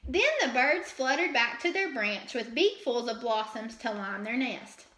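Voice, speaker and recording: A woman reading a children's story aloud like a teacher, with dramatic, expressive storytelling. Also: a little high, measured pace, echoey room.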